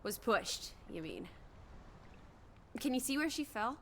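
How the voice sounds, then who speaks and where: A bold young woman in a story game. Her voice is fairly high, her manner teasing, blunt and morbidly curious, with playful old-fashioned turns. There is some background noise. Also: sing-song, takes it slowly, exaggerated voice. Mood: insistent, sarcastic. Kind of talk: video game voice acting.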